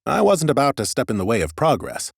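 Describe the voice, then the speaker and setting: Animated male audiobook narrator, close and dry. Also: medium pitch, quick pace, vocal fry, breathy edge, no room sound. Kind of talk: expressive first-person scripted narration.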